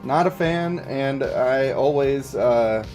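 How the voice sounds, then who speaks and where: A man's voice: mid-range voice, slow pace, darkish tone. A man hosting a game livestream, chatting casually and explaining his views to viewers in a conversational way. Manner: conversational, explanatory, checks for agreement. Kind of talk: casual livestream chat, reading and answering viewer comments. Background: music.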